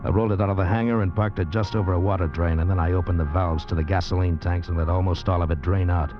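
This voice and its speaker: A male actor plays a greedy, scheming man with a low voice, confessing and persuading in hard-boiled fashion. It is golden-age radio drama, muffled by age, with prominent music behind it. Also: fairly even intonation, quick pace, close to an everyday voice. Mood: brooding, cold. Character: scheming, greedy man narrating his murderous affair. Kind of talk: 1940s-50s radio drama acting. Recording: dull vintage radio recording with music.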